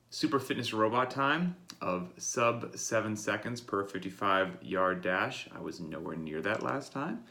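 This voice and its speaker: Male coach, stuffy with a cold, forceful bright tone, explaining workouts plainly. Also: fairly low pitch. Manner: plain, explanatory, talks straight to the listener. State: congested from a cold. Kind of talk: daily workout briefing.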